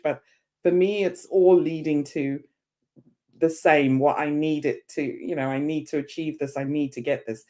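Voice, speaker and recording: A woman in her fifties from Zimbabwe, a guest on a podcast interview, telling her story with lively quoted voices. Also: deep voice. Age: 50s.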